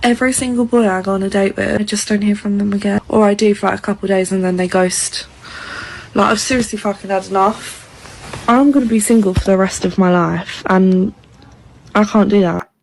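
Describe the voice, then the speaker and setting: A woman's voice: medium pitch, fairly even intonation, brisk, gravelly, a little mellow, slight background noise, rough recording. A woman venting about dating in an exasperated way.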